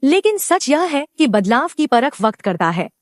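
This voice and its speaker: A woman voicing a gentle, cautious, wise female goat in a cartoon moral story, earnest and polite. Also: high-pitched, very animated, moderate pace, no echo, studio quality.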